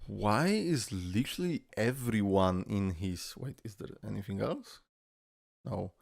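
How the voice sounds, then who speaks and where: A young man with a low, gravelly voice gives loose, joking reaction commentary, swearing and laughing as he goes. He is recorded close on a dry mic, with faint music playing underneath. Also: lively intonation, unhurried, no room sound. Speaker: reaction video host.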